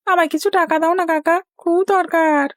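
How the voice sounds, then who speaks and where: A woman's voice: extremely high pitch, fairly even intonation, moderate pace, dry recording, clean studio sound. A woman voicing a cheeky little village boy in a cartoon, insistent and complaining. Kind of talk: Bengali cartoon dialogue.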